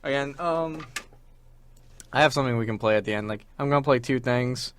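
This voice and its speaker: A young adult man co-hosting a talk show, chatting casually about gaming in a halting, informal way and repeating words. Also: medium pitch, slight background noise. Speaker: college radio show co-host. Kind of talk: casual chat about video games.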